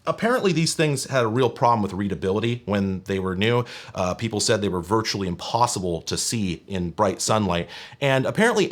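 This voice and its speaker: A man presenting as a video host, explaining hardware in a casual, wry and emphatic way with colloquial phrasing. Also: on the low side. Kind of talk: casual explanatory hands-on product teardown.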